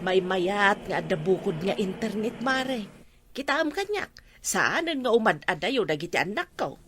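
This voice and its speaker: A woman acts a chatty Ilocano neighbour in a comedy drama. Her voice has a medium pitch, with faint ambient noise around it. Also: very animated, a little slow. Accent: Ilocano Filipino.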